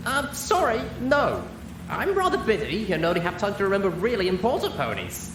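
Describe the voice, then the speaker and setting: A woman's voice: mid-range voice, huge pitch swings, normal speed. A woman voicing a brash, cocky young female pegasus pony with punchy, casual swagger in an audiobook reading. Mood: dismissive. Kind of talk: character acting in an audiobook reading. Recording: roomy, distant mic with background noise.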